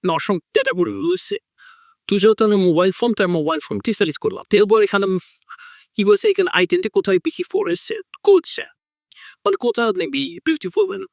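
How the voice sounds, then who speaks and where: AI-altered voice, wildly swinging pitch. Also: big pitch swings, quick pace, creaky, no echo, clean studio sound. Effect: AI voice changer. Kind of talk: sample narration clip for a voice changer.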